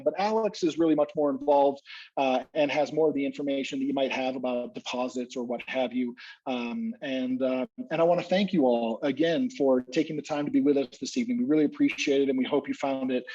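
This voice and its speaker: Man with a slightly high voice on a call. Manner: warm wrap-up, halting with fillers. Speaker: expedition guide. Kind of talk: webinar presentation. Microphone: video call. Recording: dull lo-fi video call audio.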